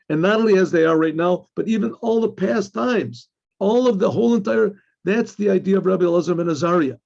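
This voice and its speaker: A man, a rabbi with a husky, gravelly voice and frequent throat-clearing, gives a religious lesson, reading and explaining a text haltingly with repeated phrases and rhetorical questions. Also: sits high, a little mellow. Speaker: rabbi teaching. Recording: dull, lo-fi sound.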